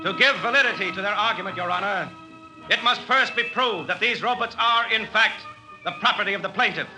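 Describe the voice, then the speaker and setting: A man's voice: high voice, brisk, over music, lightly acted, rough recording. An actor playing an insistent, formal male lawyer arguing in a 1950s radio drama. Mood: argumentative.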